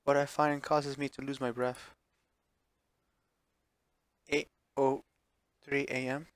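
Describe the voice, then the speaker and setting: A man's voice: mid-range voice, level tone, slow, no room sound. Man reading a game aloud, dry close sound. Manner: stumbles over words and corrects himself while reading. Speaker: streamer reading a visual novel aloud. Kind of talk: live playthrough read-aloud with offhand reactions.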